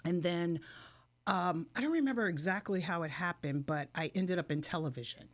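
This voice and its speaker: A woman's voice: lowish voice, normal speed. A middle-aged woman from New York, a podcast guest, chatting casually and recollecting her working life. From New York (Queens, Long Island). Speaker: film producer, podcast guest. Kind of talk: casual chat, personal recollection.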